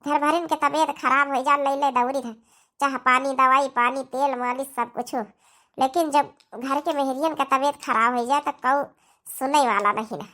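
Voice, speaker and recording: A young, put-upon daughter-in-law from a comedy cartoon, acted by a woman, speaks in a high, sharp voice. She has an Awadhi accent and complains plaintively, begging for sympathy. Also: fairly even intonation, normal speed.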